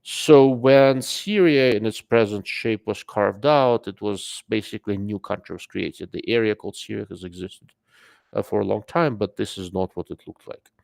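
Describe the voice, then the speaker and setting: A man with a noticeable accent holds forth on his live stream, loose and rambling, full of fillers and asides to his viewers. His voice sits low and is heavily gravelly. The sound is dry and close, with no room around it. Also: normal speed, a little mellow, no room sound. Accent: non-native English. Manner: rambling, filler-heavy, greets chat mid-sentence. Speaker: livestream host. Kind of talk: casual live-stream commentary.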